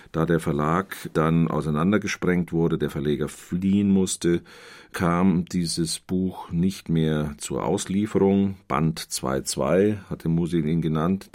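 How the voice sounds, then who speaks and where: Male literary scholar, very low register, measured explanatory delivery in long sentences. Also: darkish tone. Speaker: literary scholar, expert commentator.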